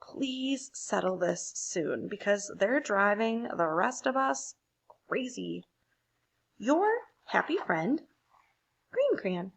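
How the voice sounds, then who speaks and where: A woman voicing a polite peacemaker crayon in a picture book, gently fed up. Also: mid-range voice, very animated, slow, vocal fry, husky, exaggerated voice. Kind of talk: picture book read aloud.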